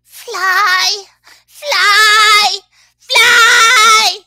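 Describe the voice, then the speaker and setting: A woman voicing an eager, stubborn little girl pig with excitable exclamations in a children's storybook read-aloud. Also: extremely high pitch, very slow, dry recording, shouting, soft background music, pitched above the performer's own voice, exaggerated voice. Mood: determined.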